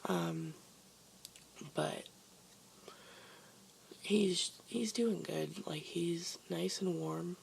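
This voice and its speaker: A woman vlogger with a hoarse voice, chatting casually and rambling with lots of ums from her basement bedroom. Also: fairly low pitch, extremely slow, pauses often, a little hiss or hum, poor audio. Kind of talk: casual chat to camera.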